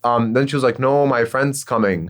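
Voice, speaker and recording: A young man hosting a casual podcast, telling a story in an animated way and laughing often. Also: mid-range voice, fast talker. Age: young adult. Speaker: podcast host. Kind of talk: casual chat.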